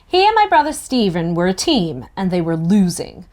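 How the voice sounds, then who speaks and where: A woman reading a first-person novel aloud in an expressive, youthful storytelling manner. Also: on the low side, normal speed. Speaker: narrator in a table read.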